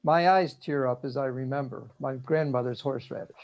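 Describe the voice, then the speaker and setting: A man giving a prepared personal reminiscence in measured short phrases over a muffled video call. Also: medium pitch, darkish tone. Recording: dull, lo-fi video call audio.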